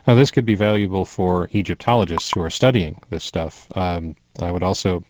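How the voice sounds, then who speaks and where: A man interviewing a guest, asking measured questions that lead into the next topic. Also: sits low, fairly even intonation, a little mellow, slight background noise.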